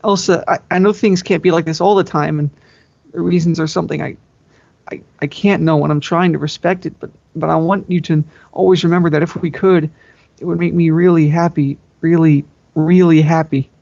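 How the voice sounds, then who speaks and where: Man putting on a high-pitched eager young princess. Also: fairly even intonation, a little fast, creaky, darkish tone. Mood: pleading. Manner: pleading, girlish. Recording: muffled, echoey home recording.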